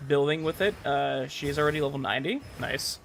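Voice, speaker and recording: A man hosting a gaming livestream, talking casually and haltingly to his chat, often repeating words as he goes. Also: a little high, creaky, fairly bright tone, slight background noise. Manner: conversational, halting, repeats words while reading and answering chat. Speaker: livestream host, gamer. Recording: music playing behind voice. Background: game music.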